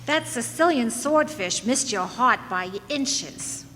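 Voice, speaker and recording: An actress playing a seductive woman in a noir radio drama, speaking in a sultry, unhurried way. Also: a little high, expressive, sharp tone, heavily acted. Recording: echoey hall, faint noise, live stage performance.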